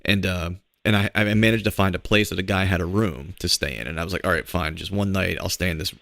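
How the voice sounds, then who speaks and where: Male podcast host, hoarse, very deep and gravelly, laid-back storyteller. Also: steady tone, quick pace, a bit bright, no room sound. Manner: laid-back, rambling storyteller with filler words. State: hoarse from a cold. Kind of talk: casual podcast chat.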